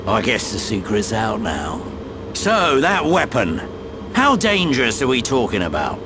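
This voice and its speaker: An actor playing a wry, roguish middle-aged soldier in a video game, with a British accent and a casual sardonic drawl. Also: male voice, medium pitch, huge pitch swings, unhurried, noisy background.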